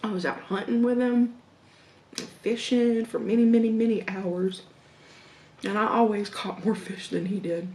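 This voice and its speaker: A woman in her thirties with a Southern American accent, slowly telling stories while eating at her dining table at home. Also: mid-range voice, fairly even intonation, reverberant. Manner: slow, reflective, with hums and soft laughs between bites. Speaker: mukbang vlogger. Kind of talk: casual storytelling while eating. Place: home dining room, indoors.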